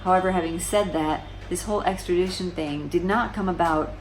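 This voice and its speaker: Woman in her 40s on a sofa talking to camera, low voice, bit of faint hiss. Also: a little fast. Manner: conversational, many restarts, rhetorical questions. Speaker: vlogger. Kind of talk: casual explainer monologue. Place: small room indoors, seated on a sofa.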